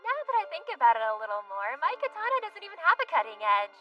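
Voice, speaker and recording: A woman voicing a spirited, reckless teenage samurai schoolgirl in an animated fantasy, earnest and cheerful. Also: high-pitched, very fast, recorded from a distance, muffled recording, music in the background. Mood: sheepish, upbeat. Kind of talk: animated fantasy dub acting.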